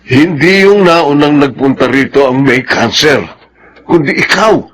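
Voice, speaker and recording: A man's voice: fairly high pitch, expressive, a little slow, fairly bright tone, a little hiss or hum. A man playing a bumbling, bossy doctor in a radio drama, casual about grave news and mixing in English. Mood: matter-of-fact.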